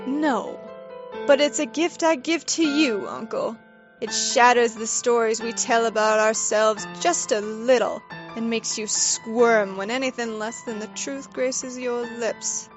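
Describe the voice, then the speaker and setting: A woman playing a shrewd, weary noblewoman of a scheming fairy court, speaking in a formal, measured, pointed way in an audio drama. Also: fairly high pitch, steady tone, close to an everyday voice. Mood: pointed, assured. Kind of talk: scripted audio drama acting. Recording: slightly dull lo-fi recording. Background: faint music.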